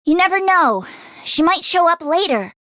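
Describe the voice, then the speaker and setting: A woman voicing a nervous, expressive teenage girl fighter in an English video game, with casual youthful phrasing. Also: extremely high pitch, normal speed, no echo, heavily acted. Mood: serious, uneasy.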